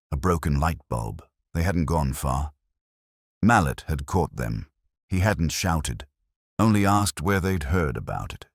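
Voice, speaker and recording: A man playing a world-weary, observant middle-aged detective, narrating a mystery in a measured, literary, reflective way. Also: low-pitched, no echo, clean studio sound, exaggerated voice. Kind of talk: scripted first-person mystery narration.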